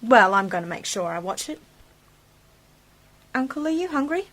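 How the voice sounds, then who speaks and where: A woman's voice: a little high, sing-song, normal speed, a bit bright. A woman playing a curious, sceptical young niece in an amateur science fiction radio play, speaking in a questioning, matter-of-fact way. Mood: insistent, practical.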